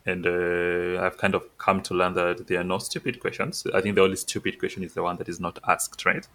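Man, podcast co-host, very low pitch, forceful tone, halting delivery. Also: fairly even intonation, a little hiss or hum. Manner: hesitant, many "uh" and "kind of" fillers. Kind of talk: casual chat.

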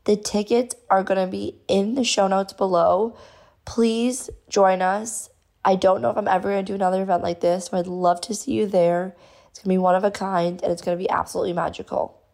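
A woman hosting her own podcast, talking casually and effusively with a hoarse voice she has lost. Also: medium pitch, fairly even intonation, fairly quick, a little mellow. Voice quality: gravelly, strained. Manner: bubbly, effusive. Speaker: podcast host.

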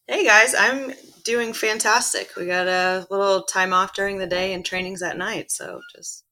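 Bright-voiced woman, relaxed storyteller. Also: mid-range voice, normal speed, low-quality recording. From born in Chile, grew up in Illinois and Indiana. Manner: chatty, relaxed storytelling with frequent restarts. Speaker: guest, professional soccer player. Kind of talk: casual interview chat.

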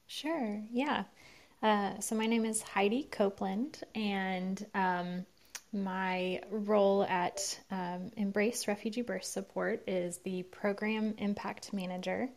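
A woman who manages programs at a nonprofit, answering interview questions in a relaxed way with many filler words. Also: mid-range voice, takes it slowly.

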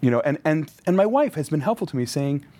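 A man's voice: fairly high pitch, very animated, quick pace, dark tone. A man who is a rabbi, speaking as a podcast guest in an earnest, reflective way, with the occasional wry aside. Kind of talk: thoughtful interview conversation.

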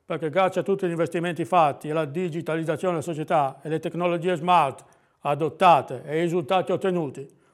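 A man's voice: a little high, steady tone, fast, close to an everyday voice. A smug owl business consultant from a children's fable, performed by a man. He talks fussily, echoing his own words in muttered repetitions as if savouring each one. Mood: pompous, hesitant. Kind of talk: fable storytelling.

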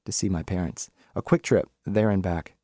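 A man's voice: sits low, normal speed, warm tone, dry recording, studio quality. A man voicing an earnest, frustrated man who speaks plainly and defensively, in a story reading. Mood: pleading. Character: earnest, thwarted man leaving his life behind.

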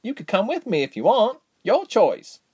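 Anxious, headstrong young storybook heroine, high and insistent; a male reader puts her on. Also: huge pitch swings, normal speed, darkish tone, husky, full character voice. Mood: determined.

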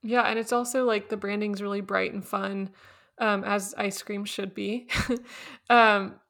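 A woman's voice: medium pitch, steady tone, unhurried, creaky, a bit bright. A woman hosting a podcast, chatty and casual, filling her talk with "like" and laughing easily. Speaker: podcast host, company founder. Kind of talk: casual chat.

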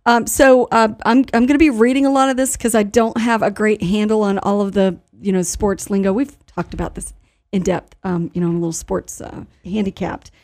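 A woman hosting a live radio talk show in an informal, halting manner, sometimes reading notices aloud. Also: mid-range voice, fairly even intonation, a little mellow.

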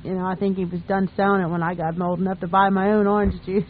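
A woman's voice: mid-range voice, fairly quick, a little hiss or hum. A woman reminiscing casually in a chatty, homely storytelling way. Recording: tinny narrow phone-like radio line.

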